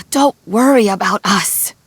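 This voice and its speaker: A woman playing a calm, reassuring old granny with a husky voice in a video game. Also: mid-range voice, slow pace, dry recording. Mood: gentle reassurance. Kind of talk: video game character acting.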